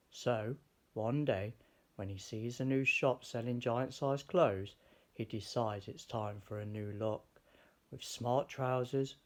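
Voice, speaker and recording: A man reading a children's picture book aloud in a deliberate, expressive way. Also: on the low side. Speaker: storybook reader.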